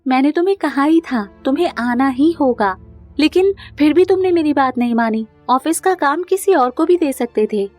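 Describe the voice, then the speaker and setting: A woman's voice: high voice, lively intonation, normal speed, a little mellow, soft background music, voice pushed up. A woman voicing an earnest, anxious young woman in love, emotional and pleading, in a cartoon family drama. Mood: hurt, annoyed.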